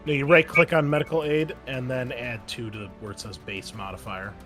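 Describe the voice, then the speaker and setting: A man running a tabletop game as its game master and host, easygoing and quick to laugh, often pausing with ums. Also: medium pitch, fairly even intonation, music in the background.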